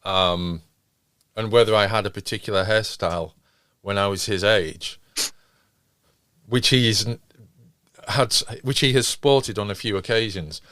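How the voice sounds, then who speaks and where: A middle-aged man chatting casually as a podcast co-host, halting and interrupting himself as he goes. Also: on the low side, fairly bright tone, dry recording. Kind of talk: casual chat.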